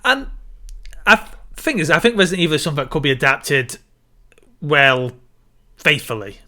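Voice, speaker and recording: A man's voice: a little high, big pitch swings, normal speed, sharp tone. A man co-hosting a casual film discussion, giving his opinions and repeating points for emphasis. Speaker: podcast co-host.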